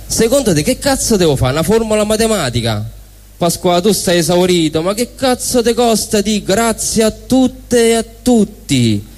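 Roman man, higher-pitched, colloquial live stage reader of comic dialogue, reverberant hall. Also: a little mellow. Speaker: stage performer. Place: indoor stage at a live event.

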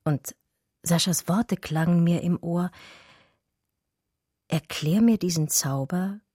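An actress playing an educated art historian who recounts her love story in a radio drama, measured and eloquent. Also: on the low side, warm tone, slightly rough, no room sound, studio quality. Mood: reflective narrating. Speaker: narrator and lead character. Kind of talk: literary radio drama narration.

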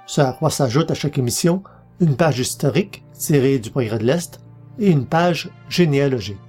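A man hosts a podcast, reading scripted narration in a measured, formal way. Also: medium pitch, lively intonation, over music. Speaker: podcast host.

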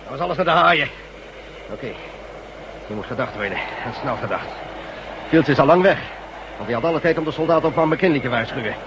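A male actor playing a brisk, authoritative leader of a ragged rebel band in a science-fiction radio drama, curt and given to cursing. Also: a little high, expressive, noisy background. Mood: angry, urgent. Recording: muffled old radio drama recording.

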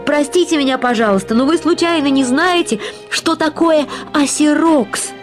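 A woman's voice: high voice, moderate pace, over music, pitched above the performer's own voice, close to an everyday voice. A woman voicing an anxious female commuter, urgent and apologetic, pleading in a raised voice in an audio story.

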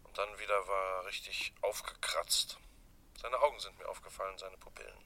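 A guarded businessman in a radio crime play. His voice is fairly low but extremely bright and hard-edged. He answers haltingly, keeping himself in check. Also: fairly even intonation, heavily acted. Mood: guarded, reflective. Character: guarded businessman questioned about his dead friend. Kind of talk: German radio crime drama acting.

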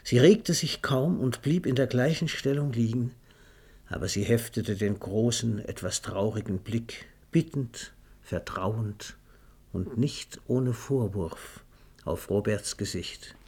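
Ein Mann erzählt in einem Hörspiel eine literarische Geschichte, ausdrucksvoll, genau und mit leiser Ironie. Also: male voice, medium pitch, slow, a little mellow, slightly rough. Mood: quiet, tender. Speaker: narrator. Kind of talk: scripted literary narration for a radio play.

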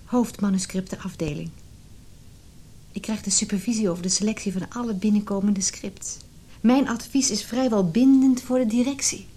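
An actress playing an eager wife seeking approval, speaking intimately in a naturalistic radio drama. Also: female voice, medium pitch, normal speed, dark tone, lightly acted. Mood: eager, assertive. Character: newly promoted wife, eager, self-assured yet seeking approval.

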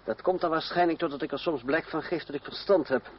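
An actor playing a proud, cool young gentleman fencing master in a radio play, speaking formally with measured irony. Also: fairly high pitch, close to an everyday voice. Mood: sardonic. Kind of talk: Dutch radio play acting. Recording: dull, noisy old radio recording.

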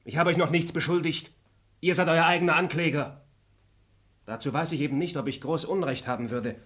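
An actor playing a composed, polite traveling gentleman who questions a suspect in measured tones in a classic radio play. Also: on the high side. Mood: calmly accusing. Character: composed, courteous traveling gentleman, suspicious and probing. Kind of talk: classic radio drama acting.

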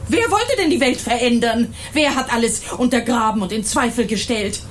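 A woman's voice: a little high, close to an everyday voice. A middle-aged woman acting an indignant, self-righteous mother in a German radio crime drama, with a stern, emphatic delivery. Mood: scolding, outraged. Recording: 1980s radio drama with faint noise.

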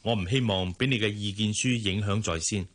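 Low-voiced Hong Kong male office boss. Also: fairly bright tone. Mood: businesslike. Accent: Hong Kong Cantonese. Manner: measured, polite, familiar with subordinate. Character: courteous, hardworking male general manager and boss. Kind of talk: Cantonese radio drama acting.

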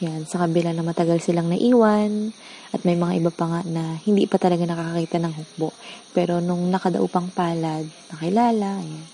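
A woman's voice: lowish voice, dark tone, slight background noise. A woman giving an earnest, emphatic spoken testimonial.

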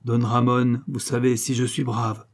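A man's voice: medium pitch, steady tone, moderate pace, a little mellow, natural voice. A man voicing a proud, troubled young duke in an audiobook, solemn, emphatic and imploring with his brother. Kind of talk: audiobook dialogue acting.

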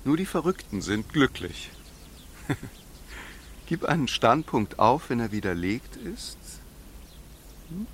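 A man's voice: medium pitch, sing-song, takes it slowly, pauses often. A cultivated court physician in a radio drama. He is earnest and reflective, with a mid-range voice that moves expressively up and down. Slight ambient noise sits behind him. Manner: reflective, reading aphorisms with care. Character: cultivated, earnest male court doctor, cautious lover.

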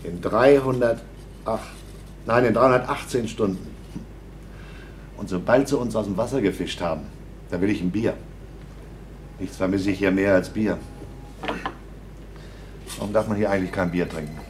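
An actor in a radio play playing a gruff, sardonic male astronaut with a dry, grumbling way of talking. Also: lowish voice, moderate pace, pauses often, a little hiss or hum, natural voice. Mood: wry, irritated. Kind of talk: radio drama acting.

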